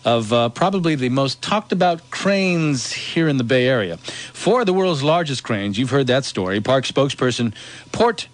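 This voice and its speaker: A man's voice: mid-range voice, very animated, normal speed. A man with an American accent anchoring radio news, reading headlines and weather live.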